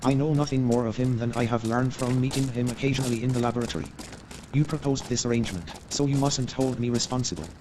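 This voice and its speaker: An actor playing a courteous, gossipy Victorian gentleman in a staged radio play, formal and measured in his hesitant explanations. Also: medium pitch, background noise, natural voice. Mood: courteous, hedging.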